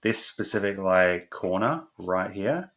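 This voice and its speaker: A man's voice: deep voice, lively intonation, takes it slowly, fairly bright tone. A man from the UK, giving casual art critique on a stream in a loose, easygoing way. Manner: easygoing, hedging with "like" and "kind of".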